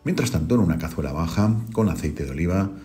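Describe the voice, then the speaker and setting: A middle-aged man, hosting a home cooking video and calmly talking viewers through each step. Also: low-pitched, fast talker, faint music behind. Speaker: cooking channel host. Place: home kitchen.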